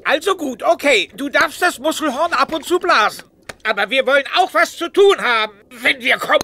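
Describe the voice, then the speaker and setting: A grumpy old cartoon sidekick with a high, piercing voice. He scolds impatiently and bosses everyone about. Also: expressive, brisk, pushed and bright, slight background noise, heavily acted. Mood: grudging. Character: grumpy old male superhero sidekick, short-tempered. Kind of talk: German children's audio drama acting.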